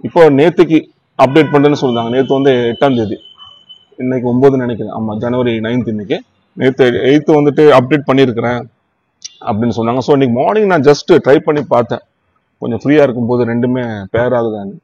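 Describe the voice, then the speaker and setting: A man with a Tamil Nadu accent talks casually in the manner of a motorcycle vlogger reviewing gear. His voice sits at an average pitch and he slips English words into his sentences. The recording is dry and slightly lo-fi. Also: fast, darkish tone, no echo. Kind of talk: casual product review chat.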